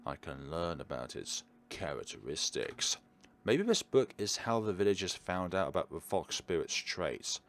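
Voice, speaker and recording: A man's voice: low-pitched, sing-song, normal speed. A man hosting a let's play, reading game text aloud dramatically and adding casual asides. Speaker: gaming video host.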